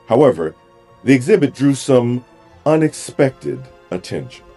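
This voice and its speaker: A man narrating a scripted fantasy audio drama as a measured, theatrical storyteller. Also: medium pitch, big pitch swings, dark tone. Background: music.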